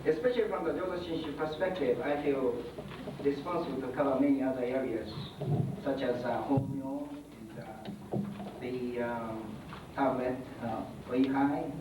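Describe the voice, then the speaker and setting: Hesitant man speaking in an echoey hall. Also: medium pitch. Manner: halting, many fillers, non-native phrasing. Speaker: Buddhist priest speaker. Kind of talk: conference talk. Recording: echoey hall from far off, noisy.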